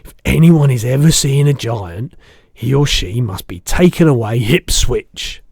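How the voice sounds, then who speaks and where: A man's voice: medium pitch, lively intonation, measured pace, creaky, darkish tone, slightly rough, no room sound, clean studio sound, pitched above the performer's own voice, heavily acted. A man voicing a big friendly giant from a storybook, blustering, muddled and good-natured, speaking emphatically in garbled childlike grammar. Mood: earnest, animated.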